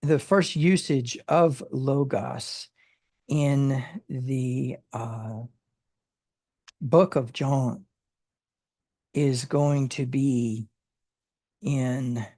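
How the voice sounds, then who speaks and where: Slow, halting Bible study teacher. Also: mid-range voice, mellow, dry recording. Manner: halting, many fillers and restarts while working through word meanings.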